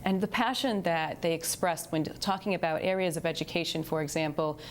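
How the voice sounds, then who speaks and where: A woman from New York, a panel guest, speaking in a measured, inspired way. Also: low voice, a little hiss or hum. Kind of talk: talk show interview.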